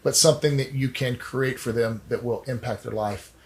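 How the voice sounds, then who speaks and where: A man's voice: medium pitch, steady tone, normal speed. A man hosting a casual interview, affirming his guests and speaking in a folksy, blunt way. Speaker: podcast host.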